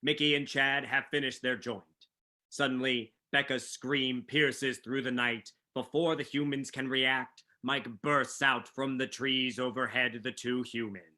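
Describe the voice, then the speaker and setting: A man's voice: mid-range voice, fairly even intonation, unhurried, sharp tone. A man reading scripted narration for a staged play reading.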